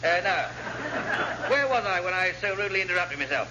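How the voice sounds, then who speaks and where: A bumbling, amiable naval commander from radio comedy. The high, posh English voice rambles absent-mindedly with chuckles, over a muffled and noisy vintage recording. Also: male voice, lively intonation, fairly quick. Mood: cheerfully befuddled. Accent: upper-class English. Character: amiable, bumbling, tipsy-sounding accident-prone posh male commander. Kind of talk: classic British radio sitcom acting.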